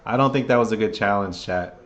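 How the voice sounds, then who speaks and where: Hyped man calling a game, hoarse. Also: on the low side, fairly quick, fairly bright tone. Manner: chatty, hyped, keeps rallying the chat. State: under the weather, voice getting hoarse. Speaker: fan livestream commentator. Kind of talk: casual play-by-play commentary to a live chat. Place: at home, seated at a table.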